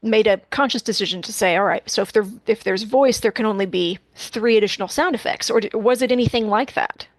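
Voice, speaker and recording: A woman interviewing in a casual, conversational way, feeling her way through halting, reworded questions. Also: mid-range voice, no room sound.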